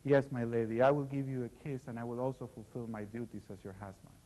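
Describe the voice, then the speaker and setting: A man's voice: mid-range voice, steady tone, fast, mellow, a little hiss or hum, close to an everyday voice, poor audio. A man voicing Gawain, a dutiful young knight in a spoken tale, in a plain earnest tone close to his own voice.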